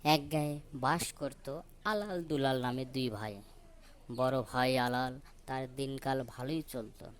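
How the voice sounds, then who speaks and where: A man's voice: mid-range voice, slow pace, smooth. A man narrating a children's folk tale for a cartoon. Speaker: story narrator.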